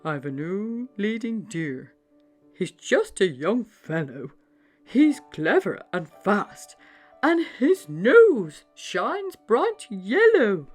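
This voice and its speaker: A woman's voice: mid-range voice, sing-song, darkish tone, soft background music. A woman playing a theatrical, upset old Santa. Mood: worried, pleading. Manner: theatrical, exclaiming, drawn-out words. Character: upset, worried old Santa, elderly man fretting over reindeer.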